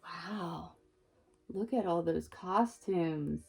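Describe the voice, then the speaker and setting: A young woman and mother reading a children's book aloud and then chatting gently with her child about it. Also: on the low side, extremely slow, vocal fry, slightly rough, soft background music. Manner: gentle, expressive, talks to the child.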